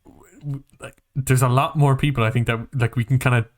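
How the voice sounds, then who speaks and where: A man co-hosting a casual film and game podcast, talking in an animated, halting, rambling way with plenty of swearing. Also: mid-range voice. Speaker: podcast co-host.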